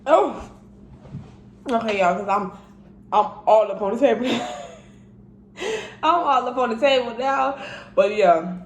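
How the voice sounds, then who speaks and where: A woman's voice: on the high side, expressive, slow pace, long pauses, echoey room, slight background noise. A woman in her twenties, tipsy, chatting casually while eating on camera, humming and chewing between stories. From Indiana, raised in Miami and Georgia. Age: 20s. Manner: chatty, chews and hums while talking. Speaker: mukbang vlogger. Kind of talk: casual eating vlog chat.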